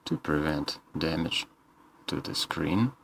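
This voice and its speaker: A man's voice: very deep voice, slow, vocal fry, fairly bright tone, slight background noise. A man narrating a scripted how-to as a repair technician, in terse step-by-step instructions.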